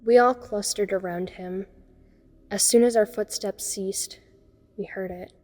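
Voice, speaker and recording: An actress plays a frightened young woman narrating a horror audio drama in the first person. Her voice is mellow and relaxed in timbre, and her pitch stays in a narrow, level band. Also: measured pace, natural voice. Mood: suspenseful. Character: frightened young woman recounting being trapped in horror story.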